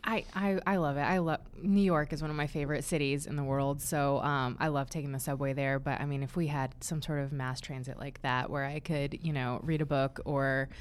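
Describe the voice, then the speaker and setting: A woman with a small, soft voice chatting enthusiastically on a panel. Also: low voice, fairly even intonation, fairly quick, few pauses, a little hiss or hum. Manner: bubbly, enthusiastic. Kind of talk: casual panel chat.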